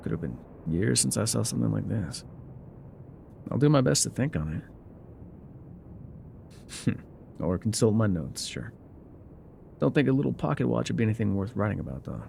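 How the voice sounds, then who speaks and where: Male actor as haunted, laconic frontier guide for immersive ASMR drama; gravelly, calm and folksy with expressive swings, slight rumble behind. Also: heavily acted. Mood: curious, thoughtful. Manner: unhurried, musing, folksy, trailing pauses. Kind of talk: immersive ASMR roleplay monologue.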